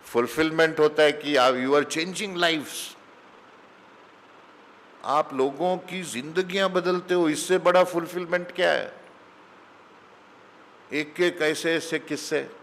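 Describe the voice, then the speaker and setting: A man's voice: a little high, a bit bright. A middle-aged man giving a conversational sermon full of anecdotes, slipping English phrases into his talk. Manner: slow, mixes English phrases into Hindi. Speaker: spiritual teacher giving satsang. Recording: long hall reverb.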